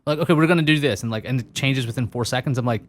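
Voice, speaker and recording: A man's voice: medium pitch, rapid-fire. A man hosting a podcast interview, musing and thinking aloud with frequent restarts. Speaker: podcast host. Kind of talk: casual interview conversation.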